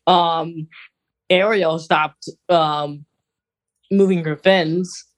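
American podcast cohost just chatting, kinda rambly, middle of the road pitch, informal. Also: steady tone, drawn-out words. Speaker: podcast co-host. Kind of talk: casual podcast chat.

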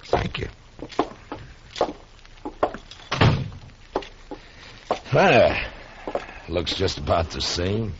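An actor playing a firm but kindly Old West marshal in a 1950s radio western, measured and plainspoken, with an American accent. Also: male voice, low voice, big pitch swings, exaggerated voice. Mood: gentle. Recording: dull, noisy old radio recording.

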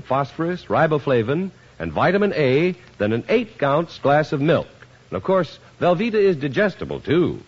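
A man's voice: mid-range voice, breathy edge. A man working as a commercial announcer, reading scripted 1950s radio advertising with emphatic, folksy salesmanship. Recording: muffled 1950s radio recording.

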